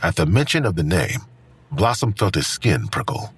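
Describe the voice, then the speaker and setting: A male narrator with a deep, extremely husky voice reads scripted drama prose. His intonation moves up and down freely, and the recording is dry and close. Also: sing-song, moderate pace, no room sound.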